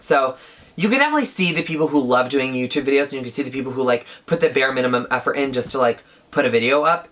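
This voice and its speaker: A woman's voice: a bass voice, a bit bright. A woman in her twenties chatting casually in a Q&A vlog, saying "like" often. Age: 20s. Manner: chatty, says "like" a lot. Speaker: beauty YouTuber.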